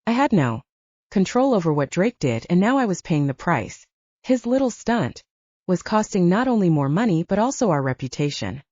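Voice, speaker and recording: A woman's voice: on the low side, sing-song, moderate pace, darkish tone, no echo, lo-fi sound. A woman reading a first-person audiobook story as its narrator. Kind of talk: first-person fiction narration with acted dialogue.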